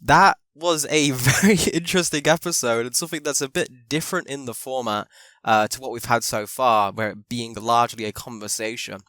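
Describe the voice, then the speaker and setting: Bright-voiced, chatty male podcast host. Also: medium pitch, fairly even intonation, a little fast, no room sound, clean studio sound. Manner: chatty, with fillers and restarts. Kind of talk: casual interview.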